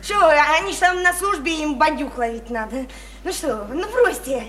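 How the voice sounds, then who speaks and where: A woman's voice: high voice, animated, brisk, a bit bright. An actress in a Russian radio play playing a naive, chatty, insistent provincial woman, eager and coaxing, with colloquial, repetitive talk. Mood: dismissive, soothing. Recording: roomy, slightly noisy radio play recording.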